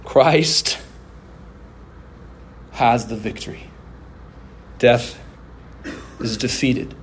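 A man delivering a sermon as a preacher, with emphatic oratory, deliberate pauses, drawn-out phrases and rhetorical refrains. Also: medium pitch, slight background noise. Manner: rhetorical questions and repeated refrains.

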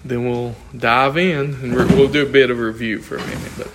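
A man's voice: medium pitch, steady tone, a little fast, background noise. A man, losing his voice, teaching an informal Bible class in a conversational, thinking-aloud way and asking the class questions.

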